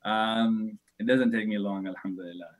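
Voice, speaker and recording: A man's voice: lowish voice, steady tone, normal speed. A man in his thirties, a religious teacher, answering interview questions thoughtfully, hesitating often and laughing easily. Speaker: shaykh, religious speaker.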